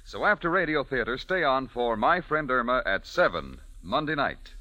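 Male radio announcer, bright lively read, dry close sound, old broadcast. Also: medium pitch, normal speed, no room sound. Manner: smooth, formal, promotional.

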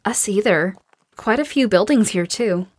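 A woman's voice: medium pitch, lively intonation, measured pace, breathy edge, no echo. A woman playing a tough, determined, commanding survivor in an audio drama. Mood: wary, blunt.

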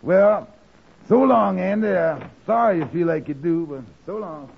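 An actor playing a mild, apologetic family man with halting, folksy talk in 1940s radio comedy style. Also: high-pitched, gravelly, noisy background. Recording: dull old radio broadcast.

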